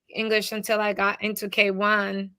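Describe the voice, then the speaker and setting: A young woman from Boston who grew up speaking Cape Verdean Creole first, chatting earnestly as a podcast guest. Her voice is bright and clear, and the sound is close and dry, with no room echo. She talks reflectively and fills pauses with "you know.". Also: mid-range voice, completely flat delivery. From Boston, USA. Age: young adult. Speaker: podcast guest, author and teacher. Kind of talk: casual interview chat.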